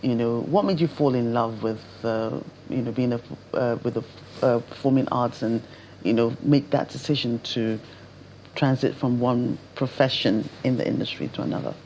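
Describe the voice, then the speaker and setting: TV co-host, medium pitch, conversational presenting, faint background noise. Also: fairly even intonation, normal speed, darkish tone, highs cut off. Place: television studio.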